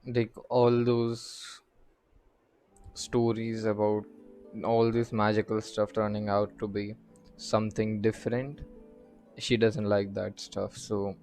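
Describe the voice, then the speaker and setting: A man's voice: on the low side, level tone, slow, a little mellow, faint music behind. A man commentating casually on a game playthrough as a streamer, musing aloud in an offhand way. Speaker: gaming streamer.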